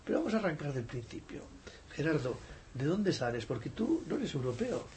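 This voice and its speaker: A man's voice: on the high side, sing-song, fairly quick, a little mellow. A man hosting a podcast interview, talking in a digressive, hesitant way with many fillers. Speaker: podcast host. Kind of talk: conversational interview. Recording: faint background noise.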